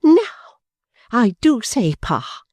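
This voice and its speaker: A man's voice: sits high, big pitch swings, a little slow, darkish tone, dry recording, clean studio sound, voice pushed up, full character voice. A man voicing a vain, indignant young woman who scolds and frets in a haughty way.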